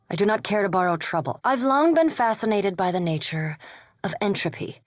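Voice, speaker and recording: A reserved vampire scholar played by an actress speaks in a medium-pitched woman's voice, choosing words with formal precision. The game dialogue is measured and coolly polite, recorded close and dry with no room sound. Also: no room sound, close to an everyday voice. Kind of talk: video game character dialogue.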